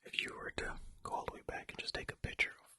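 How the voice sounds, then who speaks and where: Hushed, bright whispering ASMR host. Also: fast talker, no echo, studio quality. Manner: whispering throughout; rambling, self-deprecating, jokey tangents. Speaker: host of a video channel. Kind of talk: whispered ASMR reading of facts with casual asides.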